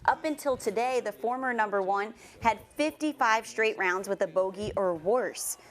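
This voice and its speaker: A woman's voice: medium pitch, moderate pace. A woman co-anchoring a television sports segment, reading scripted news with some light banter.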